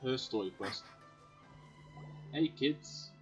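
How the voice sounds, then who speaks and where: A woman with an extremely low voice chats casually over a video game as the host. The sound is dull and lo-fi, with faint music behind her. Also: takes it slowly. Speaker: gaming channel host. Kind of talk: casual let's play commentary. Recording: dull lo-fi voice track.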